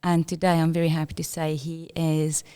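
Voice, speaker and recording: Quick-talking South African woman, mellow voice. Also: deep voice, clear, resonant tone. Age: middle-aged. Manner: quick, animated storytelling with self-corrections. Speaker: podcast guest, inclusion leader. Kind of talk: conversational interview.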